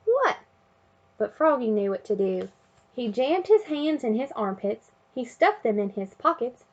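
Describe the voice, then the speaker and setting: A woman reading a children's picture book aloud in an expressive way, chanting refrains and sound words. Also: a little high, normal speed, dull audio. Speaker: storybook reader.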